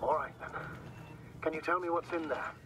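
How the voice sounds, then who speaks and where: An actor playing a calm, concerned mission commander in a video game, talking over radio in a measured, formal way. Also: male voice, on the high side, music in the background. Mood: concerned, patient. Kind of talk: dramatic game dialogue.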